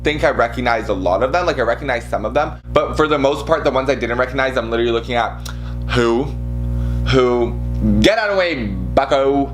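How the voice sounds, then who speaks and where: A man's voice: lively intonation, brisk, faint music behind, poor audio. Campy young man telling a gushing story. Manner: over-the-top, campy, self-mocking; breaks into shrill shouted imitations. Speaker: vlogger. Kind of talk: comedic storytime monologue.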